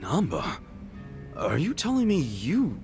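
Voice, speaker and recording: A man's voice: fairly high pitch, huge pitch swings, takes it slowly, full character voice. An actor playing an earnest, plain-spoken male friend in a video game, talking colloquially and sympathetically with an American accent. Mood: sympathetic. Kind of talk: video game dub, conversational. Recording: music behind the voice.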